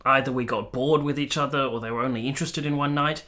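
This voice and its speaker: A male actor plays a lovesick young captain in a radio play, brooding and earnest. His voice is bright and forward, sometimes hesitant, as he narrates and speaks his heart. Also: mid-range voice, steady tone, quick pace, lightly acted. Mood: nervous. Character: lovelorn, brooding young Italian army captain, earnest and self-pitying. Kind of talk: radio drama acting with first-person narration.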